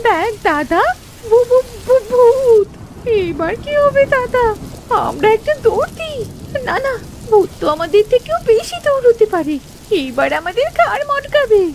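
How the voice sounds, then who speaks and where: Little sister, extremely high childlike voice, animated, eager, pleading, easily scared. Children's cartoon. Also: female voice, a little slow, a little hiss or hum, exaggerated voice, poor audio. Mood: terrified.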